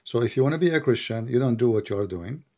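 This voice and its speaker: A man's voice: mid-range voice, lively intonation, fast, dark tone. A man hosting a call-in show, answering a caller directly and often repeating his first words. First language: Arabic.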